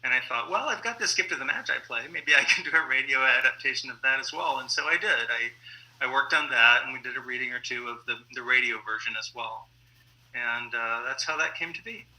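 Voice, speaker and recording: A man's voice: mid-range voice, a little fast, sharp tone, poor audio. An American man, middle-aged or older, answering as an interview guest in an anecdotal, explanatory way. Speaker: playwright and guest.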